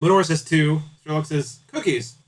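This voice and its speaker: Casting: male game streamer, chatty and playful, pitch a little above average, casual banter with viewers. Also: normal speed. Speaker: live streamer.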